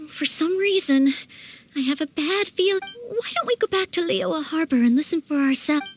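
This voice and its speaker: Tiny, very high squeaky companion. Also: female voice, lively intonation, moderate pace, a little hiss or hum. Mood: wary, curious. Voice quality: squeaky, childlike. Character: small childlike companion, cute, inquisitive, helpful, slightly wary. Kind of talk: cute fantasy video game character acting. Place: studio voice recording.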